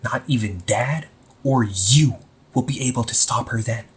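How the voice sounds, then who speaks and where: A man playing a young supervillain in an amateur audio roleplay, husky and breathy, speaking earnestly and haltingly to the listener. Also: medium pitch. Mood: pleading, worried. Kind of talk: amateur audio roleplay, intimate one-sided dialogue to listener.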